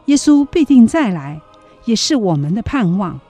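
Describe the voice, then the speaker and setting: A woman's voice: fairly high pitch, very animated, normal speed, mellow, soft background music. A woman announcing and narrating a religious radio drama in a solemn, reverent, scripted style.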